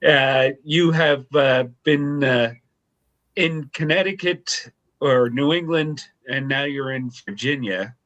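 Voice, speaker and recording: A man's voice: mid-range voice, slow pace, a bit bright, lo-fi sound. A man hosting a podcast interview, asking loose, rambling questions in a casual way. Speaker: podcast host.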